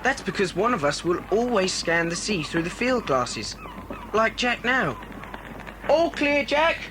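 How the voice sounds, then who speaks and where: British schoolboy, reassuring, confident, high-pitched, children's adventure play, noisy tape. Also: male voice, sing-song, a little slow. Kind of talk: children's adventure audio drama. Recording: noisy old cassette recording.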